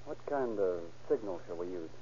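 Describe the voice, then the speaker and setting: An actor playing a breezy husband and small-time schemer in an old radio drama. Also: male voice, deep voice, expressive, normal speed, dark tone. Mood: hesitant, plotting. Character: breezy husband scheming a faked drowning for money. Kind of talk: 1940s radio acting. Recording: dull, hissy old radio recording.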